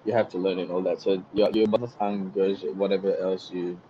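A young man with an Australian accent, a guest chatting casually on a video call, relaxed and rambling. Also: low voice, quick pace, slight background noise. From Singapore. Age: young adult. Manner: relaxed, rambling with many likes and you-know-what-I-means. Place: small bright room indoors. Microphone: headset. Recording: dull lo-fi video call audio.

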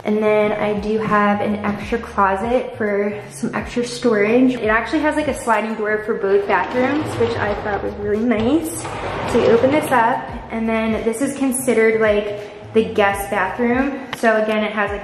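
A woman's voice: medium pitch, slight background noise. A young woman in her twenties chatting bubbly and casually to a handheld camera, peppering her talk with fillers as she films in an empty apartment. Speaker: vlogger. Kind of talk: casual vlog apartment tour. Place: empty apartment with bare walls and hard floors. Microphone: handheld camera at arm's length. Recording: echoey empty room.

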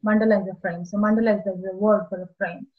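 A woman's voice: medium pitch, fairly even intonation, fast talker. A woman in her forties with an Indian accent, an architect explaining her work carefully on a video call from a room at home. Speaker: architect guest. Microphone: laptop webcam on video call, earphones. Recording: dull lo-fi video call audio.

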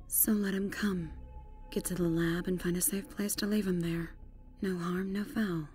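A woman's voice: fairly low pitch, steady tone, normal speed, darkish tone, faint music behind, natural voice. A woman voicing a resolute but anxious young woman's inner thoughts in a dramatised audiobook, urgent and self-questioning. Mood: hushed, wary.

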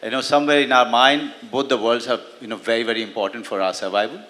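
A middle-aged man, answering press questions on a stage with a handheld mic, halting and full of fillers. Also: medium pitch, fairly even intonation, bright, forceful tone. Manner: halting, many fillers and restarts. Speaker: actor on a press panel. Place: large hall on a stage. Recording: long hall reverb.

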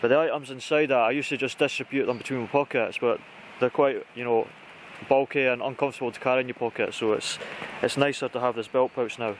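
Casting: British male outdoor vlogger, mid-pitched voice, informal and chatty, talking through kit in a noisy outdoor recording. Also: steady tone, fast talker, hardly any pauses. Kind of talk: casual gear walkthrough to camera. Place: outdoors in conifer woodland. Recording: outdoor recording with clear background noise.

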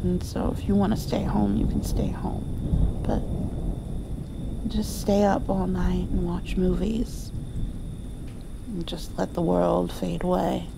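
A woman playing a doting, playful mother comforting her grown child in an intimate ASMR roleplay, softly and affectionately. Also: fairly low pitch, flat delivery, vocal fry, noisy background, heavily acted. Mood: playful, cozy. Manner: soft, affectionate, slow with gentle laughs.

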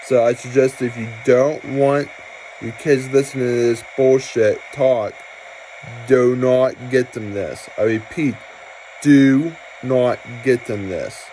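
Slow-talking man, casual and blunt. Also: medium pitch, dark tone, slight background noise, low-quality recording. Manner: blunt, mildly sweary. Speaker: video creator showing a toy. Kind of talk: casual vlog commentary. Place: small cluttered room at home, indoors.

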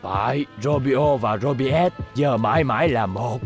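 An actor voicing hot-tempered rival men in a dubbed cartoon, in a dramatic, declamatory manner. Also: male voice, medium pitch, measured pace, soft background music, lightly acted. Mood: sorrowful. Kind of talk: animated cartoon dub, Vietnamese dialogue.

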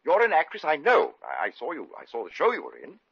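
Bashful stammering shop owner, voice jumps around, dull old radio recording. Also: male voice, a little high, wildly swooping pitch, fast, vocal fry, husky, no room sound, full character voice. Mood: hesitant, eager. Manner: hesitant, stammering, nervous. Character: bashful, flustered British dress-shop owner making a proposal. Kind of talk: 1950 radio comedy acting. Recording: muffled vintage 1950s radio recording.

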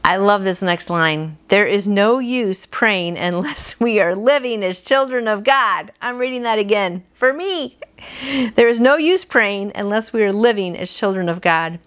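A woman's voice: mid-range voice, expressive, measured pace, fairly bright tone. A woman in her fifties hosting a devotional, reading aloud in an earnest, conversational way. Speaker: devotional host. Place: small furnished room at home, indoors.

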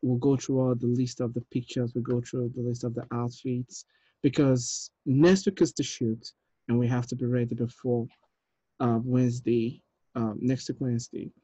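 A man's voice: medium pitch, flat delivery, normal speed, dark tone, slightly rough, no echo. A man in his thirties chatting casually as a film director on a video call. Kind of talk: casual chat. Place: room at home, video call. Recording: dull video call audio.

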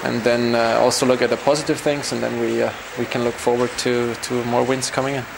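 A young man, a team captain, giving a halting post-game interview in an arena corridor. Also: medium pitch, flat delivery, creaky, background noise. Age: young adult. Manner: halting, repeating words as he searches. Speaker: ice hockey team captain. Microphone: handheld interview mic.

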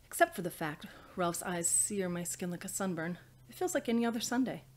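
Woman, thirties, slightly low pitch, author reading, indoor study. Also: expressive, a little fast, darkish tone, a little hiss or hum. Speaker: author reading own novel. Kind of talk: audiobook-style reading, scripted narration. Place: small indoor study with bookshelves.

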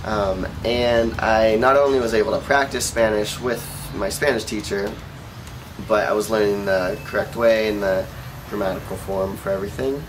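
A man's voice: on the low side, steady tone, moderate pace. A young American man from Oregon giving casual interview answers about his experience as a volunteer. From Oregon, United States. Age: young adult. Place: outdoor rooftop terrace. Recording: clear outdoor background noise.

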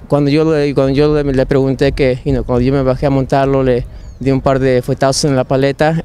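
A young adult man, a jockey, answering interview questions outdoors at a racetrack in a halting way with repeated words, on a handheld mic. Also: mid-range voice, mellow, clean tone. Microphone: handheld mic held by interviewer.

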